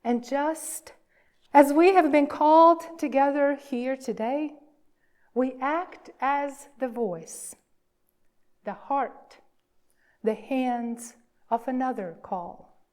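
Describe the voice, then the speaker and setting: A woman leads a church service as its minister. Her voice sits a little high and moves very slowly. She reads with reverence, stressing phrases and leaving deliberate pauses. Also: darkish tone. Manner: measured, emphatic, with deliberate pauses. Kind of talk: worship address and reverent reading.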